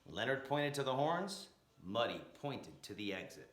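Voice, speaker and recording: A man reading a story aloud in an expressive, dramatic narrating style with emphatic, rhythmic phrasing. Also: mid-range voice, moderate pace. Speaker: storyteller reading a picture book aloud, blues educator. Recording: roomy, echoing room sound.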